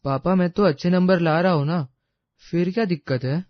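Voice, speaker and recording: A man's voice: sits high, moderate pace, no echo, dull audio, natural voice. A man playing a polite, earnest young college student in a story drama. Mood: earnest, respectful. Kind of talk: acted Hindi audio story dialogue.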